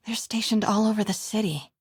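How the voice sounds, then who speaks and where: A woman playing a wry, curious, quick-witted young woman in a video game, muttering to herself as she sneaks around, with a breathy voice. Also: mid-range voice, no echo, studio quality, close to an everyday voice. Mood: curious, focused. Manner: wry, hushed self-talk.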